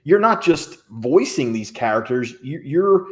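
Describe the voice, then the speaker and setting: A man's voice: mid-range voice, sing-song, unhurried. A man hosting an interview show, chatting casually and reacting in a friendly, easygoing way. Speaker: host.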